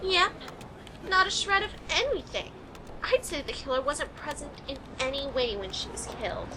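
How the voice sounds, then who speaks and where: An eager young forensic investigator in a radio mystery, voiced by a woman. The voice is very high and lively in pitch, on a noisy homemade recording. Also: normal speed. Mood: apologetic. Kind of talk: amateur radio play acting. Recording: noisy amateur home recording.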